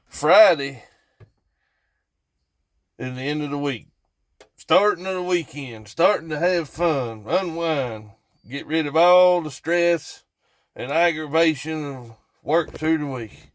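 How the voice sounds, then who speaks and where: A man's voice: fairly high pitch, no room sound. A man from West Virginia with an Appalachian accent, telling a story casually to viewers in a folksy, rambling way, repeating himself for emphasis. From West Virginia, United States. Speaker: storytelling vlogger.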